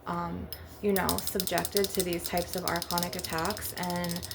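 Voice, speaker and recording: Gravelly-voiced woman in a rambling ASMR monologue. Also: on the low side, little pitch movement, unhurried. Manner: casual, repetitive tag questions, frequent swearing. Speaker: host of an ASMR channel. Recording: noisy, with clicking and tapping sounds. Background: crystal and glass tapping.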